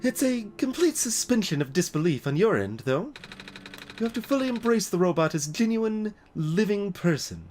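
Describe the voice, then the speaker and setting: A let's player voicing a weary, grave robot guide from a video game, explaining things in a measured way. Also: mid-range voice, very animated, a little mellow, a little hiss or hum, close to an everyday voice. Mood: earnest, explanatory. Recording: music behind the voice.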